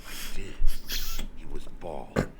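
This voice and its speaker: An actor playing an outraged, haughty dwarven scholar in a fantasy video game, with indignant, theatrical exclamations. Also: male voice, slow, close to an everyday voice. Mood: scornful. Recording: noisy game audio with loud background.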